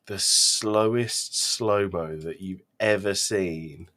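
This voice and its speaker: A man's voice: low-pitched, slow, pushed and bright. A man co-hosting a podcast, discussing films casually with emphatic, exasperated delivery. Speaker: podcast co-host.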